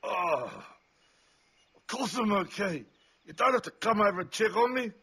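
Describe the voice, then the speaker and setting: An actor playing a forgetful, cranky old man in a video game, with a husky voice and a querulous, rambling manner. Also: sits high, expressive, normal speed, bright, forceful tone, full character voice. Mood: aching, irritable. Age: older. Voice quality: husky, raspy. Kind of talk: video game character acting.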